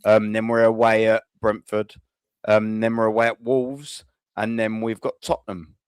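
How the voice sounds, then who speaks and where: A host running a call-in football show, rambling with friendly slang and frequent restarts. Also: male voice, on the low side, level tone, normal speed, smooth, dry recording, studio quality. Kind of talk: live call-in show hosting.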